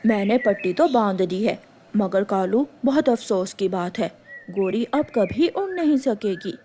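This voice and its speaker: A woman's voice: medium pitch, animated, a little slow, dark tone, a little hiss or hum, pitched below the performer's own voice, lightly acted. A woman voicing a sympathetic, sombre bulbul bird doctor in a children's cartoon. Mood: regretful.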